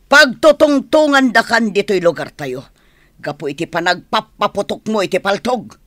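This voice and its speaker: A Filipino woman acting in a radio drama as an excitable, complaining young village woman. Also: medium pitch, normal speed, no echo, clean studio sound, lightly acted. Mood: worried, admonishing.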